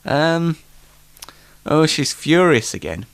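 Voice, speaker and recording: A man's voice: on the high side, very animated, takes it slowly, gravelly, smooth. A British man with a bunged-up nose, chatting off the cuff as host of his homemade show in a rambling, self-deprecating, mock-exasperated way. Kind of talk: casual, unrehearsed solo show chat. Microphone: microphone, speaking alone.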